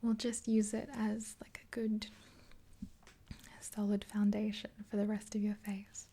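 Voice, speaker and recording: A woman in her twenties whispering gently and breathily in an ASMR roleplay, close to the mic. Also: mid-range voice, monotone, husky. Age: 20s. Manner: gentle, unhurried. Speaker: ASMR creator playing a makeup artist. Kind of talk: ASMR roleplay, soft rambling chat. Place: small indoor room. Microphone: close mic, face near camera.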